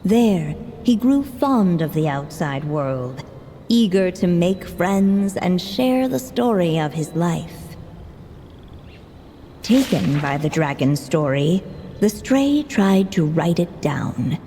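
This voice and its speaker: A woman tells a fairy tale in a slow, calm, mellow voice, pitched a little low. The game audio is echoey, with faint ambience behind her. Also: slight background noise. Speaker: storybook narrator. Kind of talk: scripted fairy-tale narration.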